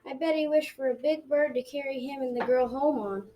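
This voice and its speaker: A high, childlike voice, a little away from the microphone. A student plays a curious young grandson in a school radio play, eager about the story. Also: fairly even intonation, brisk, dull audio, lightly acted. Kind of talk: amateur radio drama dialogue. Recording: slightly distant from the microphone.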